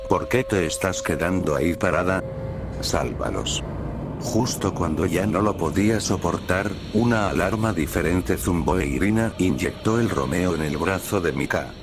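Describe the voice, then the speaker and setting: A man narrating a scripted first-person horror story as a storyteller. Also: low-pitched. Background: prominent music bed.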